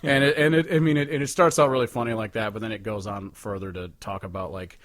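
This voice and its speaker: A man with a fairly low voice talks music as a podcast co-host. He is informal and loose, rambling and cursing casually, in a dry recording with little room sound. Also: steady tone, fast, a bit bright, no echo.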